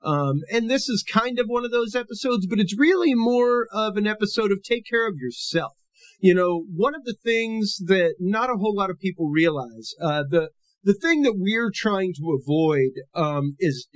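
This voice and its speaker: Male podcast host, big full voice a touch on the high side, dry close sound, easygoing explainer. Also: expressive, moderate pace, dry recording. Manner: conversational, loose and explanatory. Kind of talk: casual educational podcast monologue.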